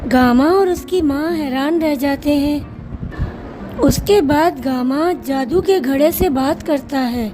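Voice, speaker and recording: A woman narrating a children's cartoon story in a measured storytelling way. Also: sits high. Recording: narration over background music.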